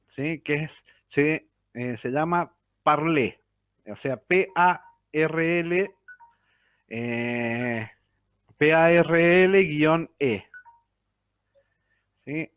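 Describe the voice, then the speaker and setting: Rioplatense man presenting as a mediator, higher voice, repeats himself, dry close recording. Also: no room sound. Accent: Rioplatense Spanish. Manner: repeats key phrases, frequent "¿sí?" check-ins. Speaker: mediator giving a talk. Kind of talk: lecture-style presentation.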